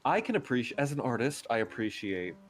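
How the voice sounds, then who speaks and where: A man's voice: mid-range voice, big pitch swings, a little fast. A man bantering casually as a guest on a livestream, playful and mock-dramatic, with some swearing. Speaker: guest streamer, ASMR creator.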